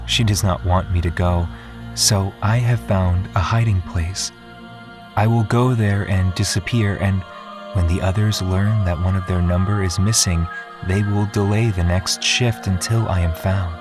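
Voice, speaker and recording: A man playing a detached, introspective dreamer, delivering a measured and formal monologue in an audio drama. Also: deep voice, fairly even intonation. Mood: brooding, detached. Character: brooding, detached dreamer alone on derelict starship. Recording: music behind the voice.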